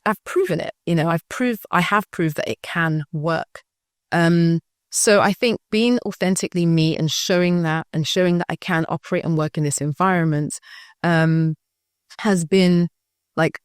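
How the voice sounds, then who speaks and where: British woman chatting thoughtfully in an interview, lowish voice, dry close sound. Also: dry recording. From United Kingdom. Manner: reflective, often checks in with the listener. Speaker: school founder, guest. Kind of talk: conversational interview answer.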